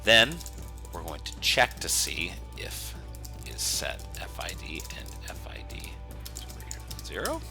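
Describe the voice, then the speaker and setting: A man giving an informal, step-by-step software screencast tutorial, explaining conversationally as he works. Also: low voice, wildly swooping pitch, a little slow, over music.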